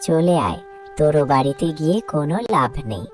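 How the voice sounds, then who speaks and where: A woman's voice: low-pitched, animated, a little fast, darkish tone, soft background music. A woman voicing a caring, cautious older ghost mother in a cartoon fairy tale. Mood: concerned, coaxing. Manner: motherly, coaxing.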